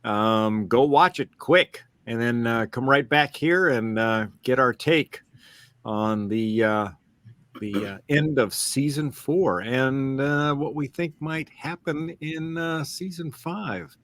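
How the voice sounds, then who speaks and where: A man's voice: mid-range voice, slow, a bit bright, no room sound. A man hosting a casual podcast chat in a rambling, hesitant way. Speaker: podcast host.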